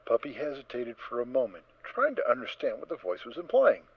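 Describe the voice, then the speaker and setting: A man's voice: mid-range voice, wildly swooping pitch, a little fast, breathy edge. Male narrator for audio story: dramatic, very varied intonation, dry, slightly muffled recording.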